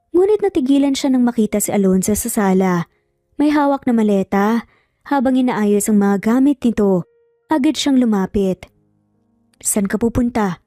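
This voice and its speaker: A woman's voice: on the high side, mellow, dry recording, studio quality. A woman narrating a scripted romance story in a dramatic storytelling style. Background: background music.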